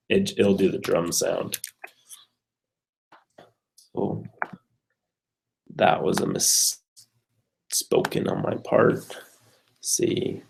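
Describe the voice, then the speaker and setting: A man's voice: lowish voice, gravelly, a little mellow, slight background noise, rough recording. A man casually walking through code on screen like a programming student, thinking aloud in a hesitant, unsure way.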